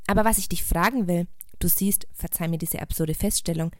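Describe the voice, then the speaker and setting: A woman voicing a small, kind old woman in a storybook, gentle, curious and understanding. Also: fairly low pitch, a little fast, dry recording, clean studio sound, lightly acted. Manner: gentle, curious, delighted to listen.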